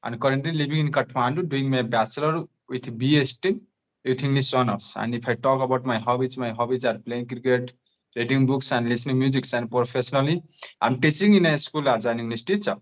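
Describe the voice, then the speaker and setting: A man's voice: mid-range voice, fairly quick. Hesitant young man, dull line. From Nepal. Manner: hesitant, many fillers. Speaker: guest. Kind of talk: casual conversation practice.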